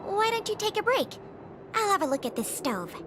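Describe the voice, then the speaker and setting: An actress voicing a prim, cautious young female intelligence officer in an anime-style game, speaking in an earnest, precise, crisply formal way. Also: very high voice, big pitch swings, brisk, over music. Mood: friendly, caring.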